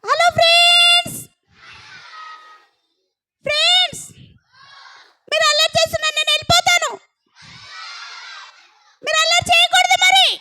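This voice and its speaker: A performer voicing an excitable, bossy puppet child who talks straight to children in a Sunday school show. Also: extremely high pitch, unhurried, pauses often, exaggerated voice. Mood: chatty, scolding. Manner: exclaiming, chiding, talking straight to children. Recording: very noisy live recording with clicks.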